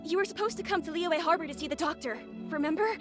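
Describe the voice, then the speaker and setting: A woman's voice: very high-pitched, fast. A woman playing an anxious, caring young daughter in a video game, earnest and pleading. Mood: worried. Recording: music behind the voice.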